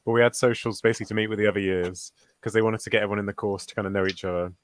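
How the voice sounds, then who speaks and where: A young university student chatting on a video call, hesitant and full of ums. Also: male voice, lowish voice, fairly even intonation, racing, no echo. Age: young adult. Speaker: podcast guest, university student. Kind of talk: casual chat on a video call.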